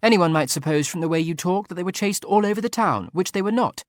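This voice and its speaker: Lively young lady, high and breathless, quick to laugh; male narrator puts her on. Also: no echo, studio quality, close to an everyday voice. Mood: eager.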